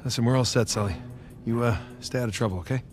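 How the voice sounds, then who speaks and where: Male, actor playing thirties game adventurer: wry, casual, capable, mid-pitched, over clear music. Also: quick pace, close to an everyday voice. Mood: focused. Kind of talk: naturalistic video game acting. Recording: music behind the voice.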